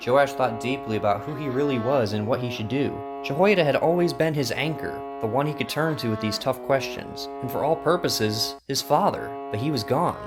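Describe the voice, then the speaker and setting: A man's voice: mid-range voice, moderate pace, hardly any pauses. Male Bible story narrator over guitar music. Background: plucked guitar music.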